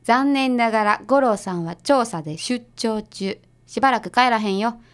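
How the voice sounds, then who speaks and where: A woman playing a bossy, prickly detective's assistant in a radio drama, brisk and huffy, with a Kansai accent. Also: on the high side, natural voice. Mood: curt, huffy.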